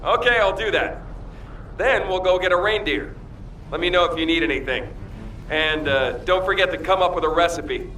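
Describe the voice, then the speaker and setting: A man's voice: high-pitched, moderate pace. A man playing an easygoing, teasing squad soldier in a video game. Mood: casual. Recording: distant, loud music behind.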